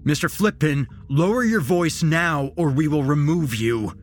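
A man voicing a stern, forceful police officer in an audio story, barking commands. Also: on the high side, measured pace, faint music behind, voice pushed up, close to an everyday voice.

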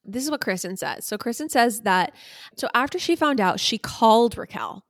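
A woman's voice: on the high side, lively intonation, moderate pace, dry recording, clean studio sound. A woman podcast host casually recapping gossip in a conversational, emphatic way.

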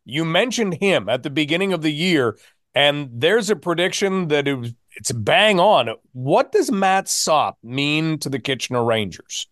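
A man's voice: a little high, lively intonation, unhurried, fairly bright tone, no room sound, clean studio sound. A man hosting a sports podcast, asking questions in an emphatic, presenterly way. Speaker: sports podcast host and interviewer. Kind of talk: hosted sports interview.

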